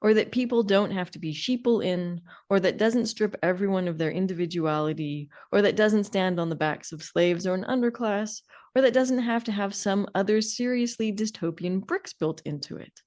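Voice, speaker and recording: A middle-aged woman speaks at an ordinary female pitch as a podcast co-host. She talks conversationally, arguing her views with wry emphasis. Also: normal speed, a little mellow.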